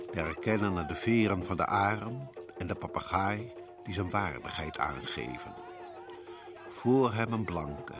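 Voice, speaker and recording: A man narrating a radio drama scene in a measured, descriptive way. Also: fairly low pitch.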